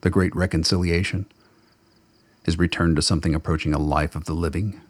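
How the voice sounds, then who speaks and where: A man's voice: low voice, steady tone, fairly quick, dry recording. A man giving dramatic scripted narration of fiction in a brooding, literary storytelling manner. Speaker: narrator of a radio play.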